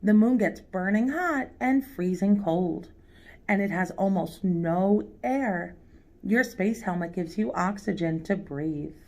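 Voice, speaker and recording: A woman's voice: medium pitch, animated, takes it slowly, dark tone. A woman voicing a friendly, knowledgeable moon being in a children's storybook, speaking in formal, instructive phrases. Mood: welcoming. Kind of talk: children's storybook read-aloud character.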